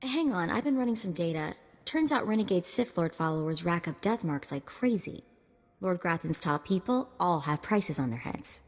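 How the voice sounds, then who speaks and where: A woman's voice: fairly low pitch, animated, normal speed, big-room sound. A woman playing a brainy, sarcastic, teasing sidekick in a video game. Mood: eager. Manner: wry, teasing.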